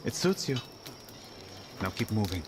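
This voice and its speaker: Wary shepherd guide amid night insects. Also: male voice, lowish voice, very animated, a little slow, noisy background. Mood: insistent, wry. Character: male shepherd guide, wary, protective, wry companion. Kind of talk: video game voice acting.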